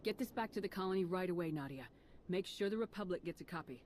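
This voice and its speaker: A woman's voice: lowish voice, fast talker, a little mellow, a little hiss or hum, natural voice. A woman playing a composed, capable military commander in a video game, cool and assured. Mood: commanding. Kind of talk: cinematic video game acting.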